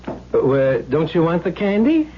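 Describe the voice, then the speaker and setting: An actor playing a kindly, naive, bookish shopkeeper, earnest and preachy, in old-time radio drama style. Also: male voice, a little high, sing-song, normal speed. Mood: kindly, insistent. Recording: muffled, noisy old radio recording.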